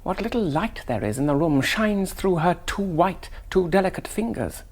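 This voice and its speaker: An actor playing a polite, naive young English gentleman in a radio drama, genteel and hesitant. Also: high voice, expressive, normal speed. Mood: wondering. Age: young adult. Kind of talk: BBC radio drama acting and first-person narration.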